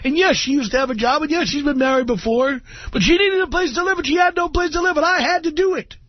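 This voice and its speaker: Man mocking a high, whiny, spineless guy. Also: fast, dry recording, muffled recording. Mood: whiny, desperate. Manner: pleading, defensive. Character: whiny, spineless male caller making pleading excuses.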